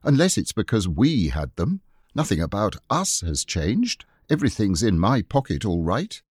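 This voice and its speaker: A man reading the part of an eager, clever young boy in an audiobook, raising his voice for the boy's excited explanations. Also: fairly low pitch, moderate pace, slightly rough, no room sound, studio quality.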